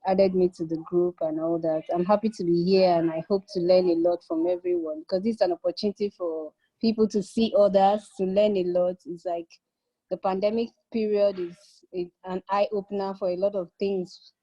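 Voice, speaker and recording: A woman's voice: fairly low pitch, fairly even intonation, normal speed, warm tone, dull audio. A woman from southern Nigeria, a lawyer and entrepreneur, introducing herself in a friendly, chatty way on a video call. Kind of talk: self-introduction.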